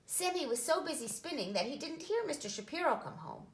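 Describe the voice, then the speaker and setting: A woman reading a children's storybook aloud in an expressive, storytelling style. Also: high voice, brisk, reverberant, a little hiss or hum. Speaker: story reader, nonprofit executive director.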